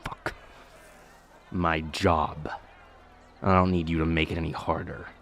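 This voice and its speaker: A man's voice: extremely low pitch, little pitch movement, a little slow, a bit bright, heavily acted. A man playing a stern officer guarding a scene in an audio roleplay, clipped, officious and irritated.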